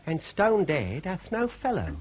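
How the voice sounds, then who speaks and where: Keen young victorian detective sidekick, english, formal, high lively voice, noisy background, radio drama. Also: male voice, exaggerated voice. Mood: courteous, probing. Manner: formal, measured, declamatory. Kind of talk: period comedy radio drama acting.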